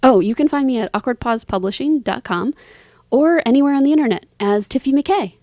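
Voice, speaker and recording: A woman's voice: sits high, lively intonation, brisk, darkish tone, no room sound, studio quality. A woman speaking casually as an interview guest, with informal phrasing and emphatic words. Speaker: interview guest, writer and performer.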